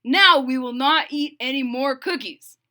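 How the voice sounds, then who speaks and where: A sensible, determined storybook frog read by a woman speaks slowly and emphatically. The voice is bright and deliberate, as if patiently instructing a friend. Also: high-pitched, pitched above the performer's own voice. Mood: firm, satisfied. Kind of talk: children's storybook read-aloud.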